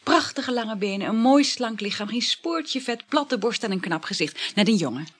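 An actress in a radio play voicing a dreamy, flirtatious married woman, speaking in a breathy, languid, halting murmur. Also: a little high, expressive. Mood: wistful. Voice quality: breathy, husky. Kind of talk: intimate radio play acting.

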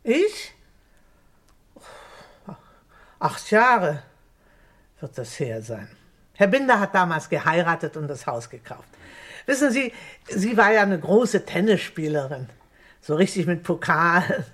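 Chatty, folksy housekeeper. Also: female voice, on the low side, expressive, a little slow. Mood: chatty, amused. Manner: garrulous, homely, chuckling. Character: chatty, folksy, good-natured female housekeeper. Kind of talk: German crime radio play acting.

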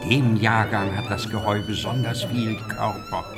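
An actor playing an eccentric, kindly theatre director savouring wine in a declamatory way in a radio play. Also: male voice, on the low side, wildly swooping pitch, moderate pace. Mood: rapturous, savouring. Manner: declamatory, connoisseur-like. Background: music.

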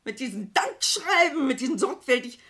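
Radio drama: a sarcastic, defiant niece and ex-teacher, rough-edged voice with sweeping pitch. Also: female voice, very animated, moderate pace, fairly bright tone, heavily acted. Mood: bitter, hysterical. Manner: sarcastic, theatrical, pointed. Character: bitter, defiant niece, a former teacher turning on her relatives. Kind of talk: German radio play acting.

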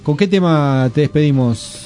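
A man's voice: fairly high pitch, moderate pace, dark tone. A man hosting a radio show, chatting in an easygoing way with his guest. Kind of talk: casual radio chat.

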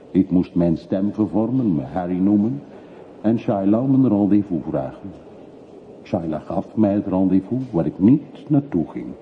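An actor playing a brooding middle-aged man back from long exile in a radio play, terse, evasive and reflective. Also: low voice. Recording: muffled phone-like old radio recording, faint hiss.

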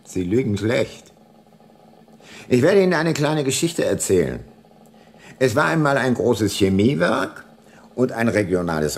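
An actor playing a hard-nosed, cunning older private detective in a radio drama, terse and dry. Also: male voice, medium pitch, creaky, breathy edge, heavily acted. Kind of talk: radio drama narration and dialogue.

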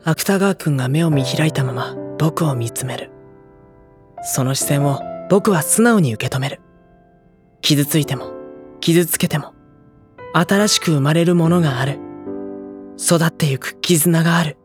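An actor playing a gentle, earnest high school boy who speaks and narrates sincerely in an audio drama. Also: a little slow, pauses often, soft background music, natural voice. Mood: reflective, tender.

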